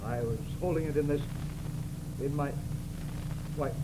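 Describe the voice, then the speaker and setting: An actor playing a shaken, defensive crime victim in a 1940s radio drama, hesitant and earnest. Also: male voice, a little high, a little slow, mellow, natural voice. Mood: anxious, insistent. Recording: noisy vintage radio drama recording.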